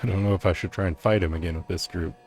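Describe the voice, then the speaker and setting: Male streamer, lowish voice, hesitant think-aloud game chat with soft music behind. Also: steady tone, racing. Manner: low-key, musing aloud, hesitant. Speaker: gaming streamer. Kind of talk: casual game commentary. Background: faint game music.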